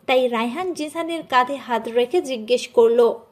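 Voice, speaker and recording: Female story narrator, high voice, scripted dramatic storytelling. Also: moderate pace.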